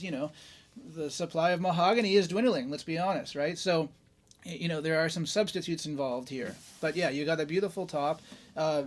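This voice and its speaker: Chatty man reviewing a guitar. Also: fairly high pitch. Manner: chatty, enthusiastic, many fillers and tag questions. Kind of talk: casual gear review.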